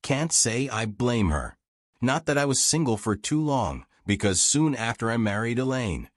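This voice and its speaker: A man's voice: medium pitch, very animated, normal speed, dry recording, studio quality. A man voicing a frank, wry old American war reporter reminiscing in folksy, self-deprecating audiobook dialogue.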